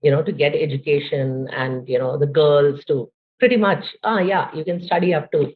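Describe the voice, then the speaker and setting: A low-voiced Indian woman in her late forties talks thoughtfully as a podcast guest and often pauses with "you know". Her voice comes through a narrow, tinny phone-like line. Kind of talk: conversational interview.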